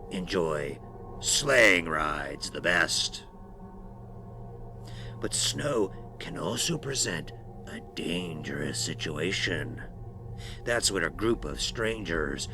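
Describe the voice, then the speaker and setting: A man playing a sinister, darkly playful horror host, narrating slowly and theatrically with ominous whispered phrases. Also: sits low, gravelly, full character voice.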